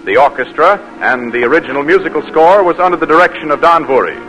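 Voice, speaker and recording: A man's voice: a little high, fast talker, fairly bright tone, soft background music, rough recording. A man announcing a radio drama series in a polished, formal 1940s style.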